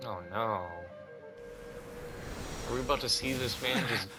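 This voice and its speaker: A man giving casual, quiet reaction commentary on a video game playthrough, muttering as he goes. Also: medium pitch, measured pace. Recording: game music behind the voice.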